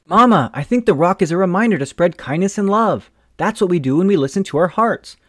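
An earnest, sensitive little girl from a storybook, as a man reading aloud puts her on. She talks a bit higher than his usual voice, with lively, expressive rises and falls. The recording is dry and close. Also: a little fast, no echo.

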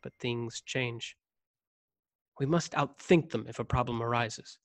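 A man's voice: mid-range voice, steady tone, measured pace, fairly bright tone. A young man acting a proud, rigid, volatile starship ensign in a radio play, speaking formally and in clipped phrases. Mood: grave, confiding. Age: young adult. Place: recorded over a video call, close studio mic. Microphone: desktop microphone, headset worn.

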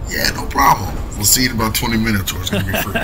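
A man playing a jumpy, foul-mouthed small-time crook in a horror comic dub, streetwise and excitable. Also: lowish voice, very fast, background noise, lightly acted. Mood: businesslike.

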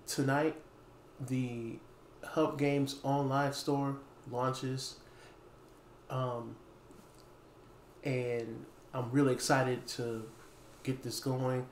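A man in his thirties talking casually to camera as a store owner making an announcement, pausing often mid-phrase. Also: medium pitch, flat delivery. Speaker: small game store owner and video host. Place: dim indoor room with wooden floor. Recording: echoey room, faint background noise.

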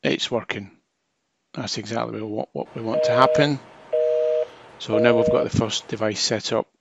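A man's voice: mid-range voice, steady tone, moderate pace, creaky, muffled recording, very noisy. A man narrating a hands-on technical tutorial as a trainer, explaining informally step by step.

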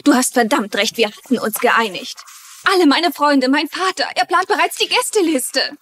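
A woman's voice: sits high, expressive, moderate pace, a bit bright. An actress playing an insistent, dramatic society woman in her thirties in a dubbed TV drama. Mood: indignant. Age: 30s. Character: indignant 35-year-old society girlfriend pushing for marriage. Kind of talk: German-dubbed TV drama acting.

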